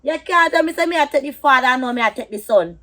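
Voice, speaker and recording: A woman's voice: high-pitched, expressive, fast talker, sharp tone. A woman with a Jamaican accent playing a feisty, scornful lover in a drama, scolding and repeating her words for emphasis. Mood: outraged, scolding.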